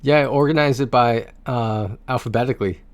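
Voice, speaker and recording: A man's voice: medium pitch, normal speed, no echo. A man livestreaming about video games in a casual, rambling, conversational way, speaking to his viewers on a close mic.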